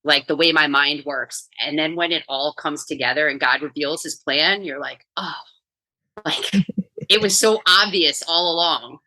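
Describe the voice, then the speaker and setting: A middle-aged woman from New York with a low voice and a bright, forceful edge. She tells her story in a chatty, energetic way as a podcast guest. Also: measured pace, low-quality recording. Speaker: guest, coach and author. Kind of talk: casual podcast interview.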